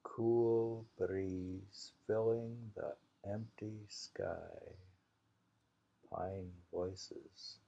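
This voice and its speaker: A man with a low, creaky voice reads short poems and muses on them casually. His pace is slow and unhurried, and the sound is a dull, lo-fi home recording. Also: steady tone, mellow. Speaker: reader of a haiku anthology. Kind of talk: slow poetry reading with casual commentary.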